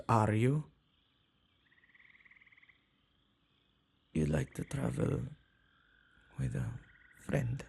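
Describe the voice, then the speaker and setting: A man's voice: mid-range voice, vocal fry, a little mellow, slightly rough, full character voice. A man playing a shy, awkward, kind-hearted witch who tends ghosts, speaking hesitantly in an ASMR roleplay. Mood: hesitant, surprised. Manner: hesitant, halting, stammering. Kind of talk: ASMR boyfriend roleplay, acted monologue.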